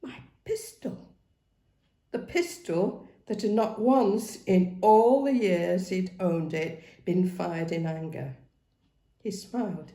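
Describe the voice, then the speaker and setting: A woman reading a novel aloud as dramatic, expressive narration. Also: lowish voice, slow. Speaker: story reader. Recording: roomy, echoing sound.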